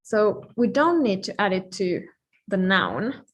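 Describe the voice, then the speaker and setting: A woman who is a native Finnish speaker gives an informal live-coding lecture, thinking aloud with playful asides and pauses mid-thought. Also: mid-range voice, sing-song. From Finland.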